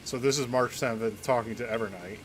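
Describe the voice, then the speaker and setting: Male game streamer: average pitch, casual analytical commentary with thinking pauses, slight background noise. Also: rough recording.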